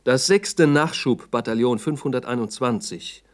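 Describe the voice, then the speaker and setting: A man's voice: medium pitch, normal speed. Factual male radio play narrator. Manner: factual, formal, report-like.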